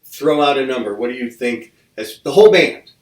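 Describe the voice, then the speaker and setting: A man's voice: mid-range voice, expressive, a little fast. A man hosting a casual podcast, rambling along and restarting his sentences as he talks. He sits a little back from the mic, and the room around him is echoey. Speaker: podcast host. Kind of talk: casual interview chat. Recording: roomy mic from a distance.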